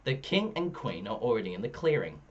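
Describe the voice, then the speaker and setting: A man reading a children's story aloud expressively, shifting his pitch between narration and dialogue. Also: big pitch swings, fairly quick, muffled recording. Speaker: storybook reader.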